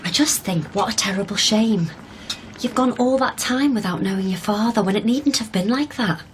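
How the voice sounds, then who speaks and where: A woman's voice: mid-range voice, normal speed, lightly acted, rough recording. A young woman acting a supportive, inquisitive fiancée in a naturalistic radio drama. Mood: questioning. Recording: clear background ambience noise.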